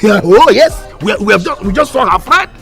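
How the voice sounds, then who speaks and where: A high-pitched Nigerian man, pompous and indignant, in a comedy film. His delivery swings widely and he piles up fancy words as he complains. Music plays under him. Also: expressive, fairly quick, creaky, fairly bright tone, full character voice. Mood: exasperated, pleading. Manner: pompous, wordy, repeats himself with synonyms. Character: indignant, pompous, fussy man quarrelling with a friend. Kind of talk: comedic film drama acting.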